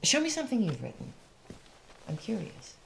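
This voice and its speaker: A woman's voice: a little high, huge pitch swings, normal speed, gravelly, a little hiss or hum, full character voice, rough recording. An actress playing a blunt, imperious woman writer in a radio drama, speaking curtly and with self-assured emphasis. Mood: commanding.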